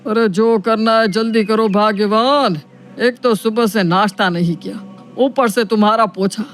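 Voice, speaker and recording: A man's voice: high voice, fairly even intonation, measured pace, a bit bright, soft background music. A man playing a resigned middle-aged husband and father in an audio story, grumbling wryly. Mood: impatient, grumbling. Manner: wry grumbling. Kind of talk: Hindi audio story acting.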